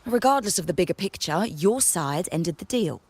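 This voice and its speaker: A woman's voice: lowish voice, animated, moderate pace, darkish tone, husky, no echo. A woman playing a curt, aggrieved, no-nonsense trader in a video game. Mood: curt, resentful. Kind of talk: scripted video game voice acting.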